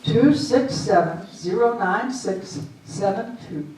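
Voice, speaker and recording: A woman playing a capable, patient wife in a comic readers theater, deliberate and no-nonsense. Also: mid-range voice, animated, darkish tone. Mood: matter-of-fact. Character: Janet, patient, brisk, capable wife of befuddled husband. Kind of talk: readers theater comedy acting. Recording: echoey hall, far from mic.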